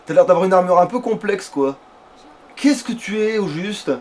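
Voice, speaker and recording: A man's voice: high-pitched, moderate pace. A man chatting casually as he plays a video game, thinking aloud with slang and swearing. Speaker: video game let's player at the controls. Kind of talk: casual gaming chat, commentary while playing. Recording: steady background noise, amateur gameplay recording.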